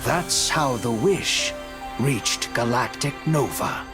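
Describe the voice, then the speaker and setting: A man narrating a video game story cutscene in a measured, dramatic storytelling manner. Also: medium pitch, lively intonation. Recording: music under the voice. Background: music.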